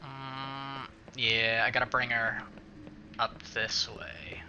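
A man's voice: medium pitch, little pitch movement, takes it slowly, pushed and bright, dull audio, a little hiss or hum. A man giving casual let's-play commentary, muttering to himself and swearing mildly as he plays. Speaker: gaming video host.

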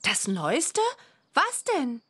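A cheerful young witch girl from a children's game. Her voice sits high and swings animatedly through her lines, taking its time as it goes. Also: huge pitch swings, very slow, bright, forceful tone, slightly rough, exaggerated voice. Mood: surprised. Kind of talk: German children's computer game voice acting.

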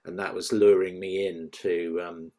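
Male panellist, lowish pitch, halting casual delivery, speaking from home on a video call. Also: little pitch movement, warm tone. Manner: hesitant, halting. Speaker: panel member. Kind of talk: casual feedback chat. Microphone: video call computer microphone.

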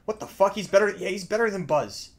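A man's voice: sits high, animated, fast. A young man giving casual, exaggerated, jokey reaction commentary with plenty of swearing, indoors at home. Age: young adult. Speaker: video creator reacting to game voice lines. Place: small indoor room at home.

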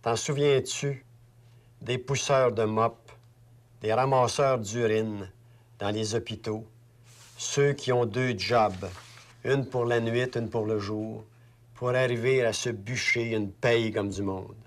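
A man with a Québécois accent reciting a poem deliberately, as if speaking directly to someone. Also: mid-range voice, steady tone. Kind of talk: spoken poetry recital.

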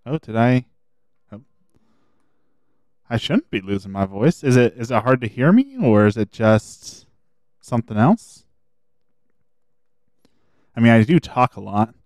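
A man streaming a video game, chatting casually and thinking aloud as he plays, his voice a little hoarse. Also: medium pitch, animated, a little slow, pauses often, a little mellow, no echo, studio quality. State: slightly hoarse. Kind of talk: casual gameplay commentary.

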